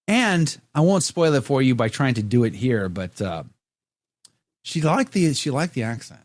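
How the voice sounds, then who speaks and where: Lively, playful male podcast host. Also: medium pitch, normal speed, no room sound. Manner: playful, jokey, reads viewer comments aloud. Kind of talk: casual chat.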